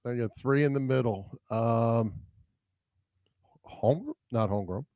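A man's voice: mid-range voice, slow pace, warm tone, no room sound. Casual male sports show co-host, dry sound.

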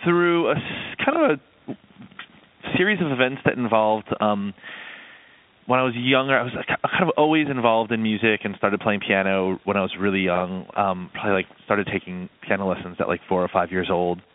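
A man with a lowish voice gives casual interview answers, pausing often to find his words. He sounds like he is on a tinny phone line. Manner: halting, searching for words. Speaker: interview guest, film composer.

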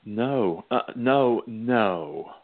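An actor playing a gruff, flustered, lecherous man in a radio play, halting and blustering. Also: fairly low pitch, lively intonation, narrow, tinny audio, full character voice. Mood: flustered refusal.

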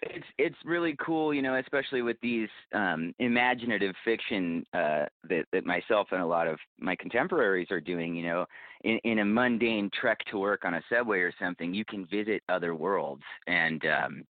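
Male podcast guest, low voice, earnest and enthusiastic, conversational, tinny phone-like sound, dry. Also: moderate pace, dry recording. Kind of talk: conversational interview answers.

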